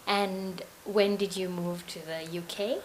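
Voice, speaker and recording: A woman's voice: mid-range voice, level tone, unhurried, a little hiss or hum, low-quality recording. A woman conducting an interview, asking questions and giving short encouraging prompts.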